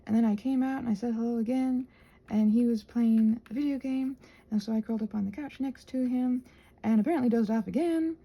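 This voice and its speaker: A woman's voice: on the high side, a little fast, warm tone, poor audio. A woman chatting casually in a whimsical, digressive way while she does crafts. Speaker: craft vlogger.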